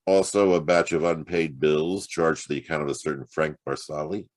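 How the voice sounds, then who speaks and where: An actor playing a wry, streetwise private detective in a hard-boiled audio drama, with a sardonic, conversational delivery. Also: male voice, extremely low pitch, steady tone, normal speed. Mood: earnest bargaining. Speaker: actor narrating and playing the lead.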